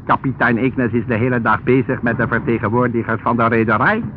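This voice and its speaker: An actor playing an evasive, formally polite ship's officer in a Dutch radio play, stiff and rehearsed. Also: male voice, mid-range voice, steady tone, brisk, lightly acted. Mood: politely evasive. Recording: muffled, noisy old radio recording.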